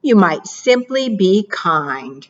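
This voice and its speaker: A woman librarian reading picture books aloud to preschoolers, with expressive, animated delivery, asking the children questions and praising their answers. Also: slow, dull audio. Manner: expressive, animated read-aloud with playful pitch jumps.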